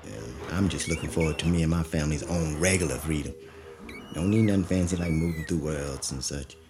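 A man's voice: very deep voice, brisk. An actor playing an earnest, humble family man with a folksy Southern US accent in an audio drama. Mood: uneasy, earnest. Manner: folksy, conversational. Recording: music behind the voice.

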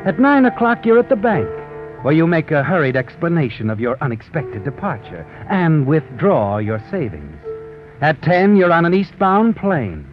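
An actor playing a sardonic, all-knowing narrator of a 1940s radio mystery, taunting the heroine with dramatic needling questions. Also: male voice, fairly high pitch, sing-song, normal speed, muffled recording. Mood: sardonic, urgent. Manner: dramatic second-person address, needling rhetorical questions. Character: sardonic, omniscient male narrator taunting the story's guilty heroine. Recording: vintage 1940s radio with music behind.

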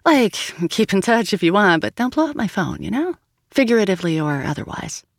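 A narrator voicing an earnest young woman student who speaks casually and quickly. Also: mid-range voice, big pitch swings, creaky, no room sound, studio quality, pitched above the performer's own voice. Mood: wry.

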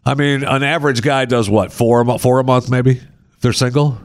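A man hosting a talk radio show, telling a story in casual banter and working through the numbers slowly with dry humor. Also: medium pitch, no echo. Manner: wry, deadpan storyteller who walks through numbers slowly.

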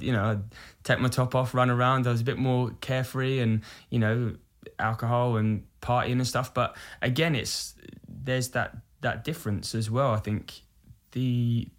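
A man's voice: mid-range voice, steady tone, normal speed. A man in his thirties from the UK, an actor talking candidly and reflectively as an interview guest. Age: 30s. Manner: earnest, reflective, self-quoting. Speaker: musical theatre actor, podcast guest.

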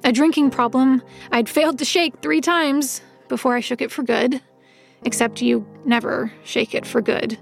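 A woman playing a world-weary, wry first-person narrator in an audio drama, with measured literary delivery. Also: high-pitched, steady tone, creaky, soft background music. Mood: weary, reflective. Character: world-weary, recovering-alcoholic woman narrator, reluctant detective's helper.

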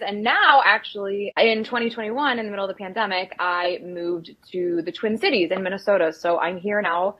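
A woman with a mid-range voice who chats cheerfully as a guest. The audio is dull and lo-fi. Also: mid-range voice. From Berkeley, California. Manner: chatty, cheerful. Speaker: guest actor.

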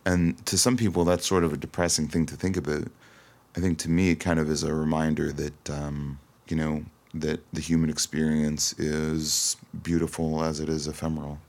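A man's voice: a bass voice, little pitch movement, normal speed, a little mellow. A man from Toronto, Canada, talking casually and reflectively as a musician guest in an interview, often hesitating. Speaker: singer-songwriter guest.

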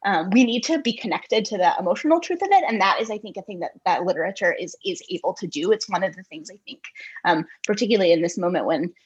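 A woman giving thoughtful interview answers in an earnest, halting way, repeating words as she reasons. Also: medium pitch, expressive, breathy edge, lo-fi sound. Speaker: guest author, poet and essayist.

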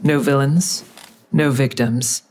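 A woman's voice: rumbling low, fairly even intonation, slow pace, darkish tone, heavily acted. Terse, grim woman interrogator. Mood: challenging. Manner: terse, dry, needling. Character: grim, confrontational female investigator questioning an old enemy. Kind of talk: audio drama acting with narration.

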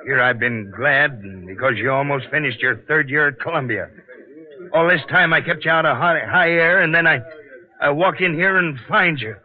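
A man's voice: a little high, moderate pace, close to an everyday voice. An actor playing a weary, protective middle-aged father and tunnel laborer, plain-spoken, in 1940s radio drama style. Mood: dismayed. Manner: plain-spoken working-class talk. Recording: tinny 1940s radio broadcast, music.

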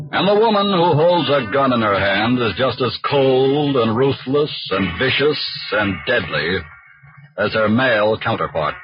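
A man narrating a crime drama in scripted 1940s radio style, grave and deliberate. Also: mid-range voice. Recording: muffled vintage radio recording. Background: music.

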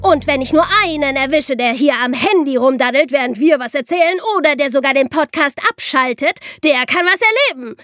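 A woman's voice: high-pitched, fairly quick, few pauses, fairly bright tone, no echo, soft background music. A woman playing a bossy, threatening military drill sergeant, barking out commands for a podcast. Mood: mock stern.

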